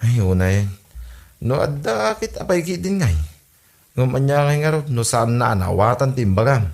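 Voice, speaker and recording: A man's voice: medium pitch, sing-song, normal speed, gravelly, a little mellow. A man with a Filipino accent acting an easygoing, placating farmer husband in a podcast comedy drama.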